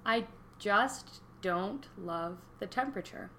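Young female co-host with a bright voice, chatty and joking, faint hiss behind. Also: mid-range voice, slow. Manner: chatty, joking, laughs often. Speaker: podcast co-host. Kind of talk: casual chat.

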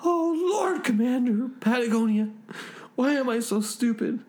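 A man's voice: very high-pitched, lively intonation, measured pace, darkish tone, pitched above the performer's own voice. A man voicing a panicky, self-abasing spaceship crewman who wails and fawns in a comic sci-fi story. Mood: wailing self-blame. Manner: wailing, overwrought, fawning.

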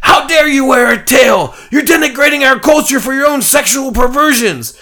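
A man voicing a violent mob of furries, shouting in a high, strained voice. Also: moderate pace. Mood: shrieking angry. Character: shrieking mob of furries, male, violent and zealous.

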